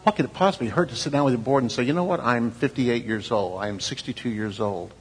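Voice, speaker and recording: In a big reverberant hall, a male preacher speaks with a husky voice. He exhorts in a conversational way, posing rhetorical questions and voicing imagined replies as he leads the session. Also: mid-range voice, quick pace. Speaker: preacher leading a church leadership session. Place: large echoing hall, speaking to a group.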